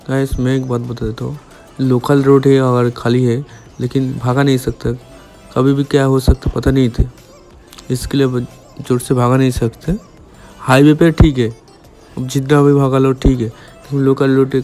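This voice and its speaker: A man's voice: medium pitch, mellow. A young man in his twenties chatting casually to viewers while riding a motorbike on a village road, recorded outdoors with a helmet-mounted camera and road noise around him. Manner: chatty, informal, addresses viewers as guys. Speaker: motovlogger.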